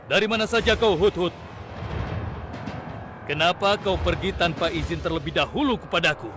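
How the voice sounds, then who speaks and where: A man's voice: high-pitched, natural voice. A man playing a stern but just prophet-king in a children's cartoon, speaking in a formal, commanding way. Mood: stern, angry. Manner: commanding, formal, deliberate royal speech. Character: stern, just prophet-king Sulaiman, quick to threaten punishment. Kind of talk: Indonesian children's religious cartoon acting. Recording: loud music behind the voice.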